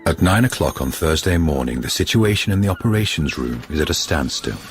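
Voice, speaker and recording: Narrator for radio drama: man, very deep pitch, scripted delivery, soft music bed. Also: lively intonation, a little fast.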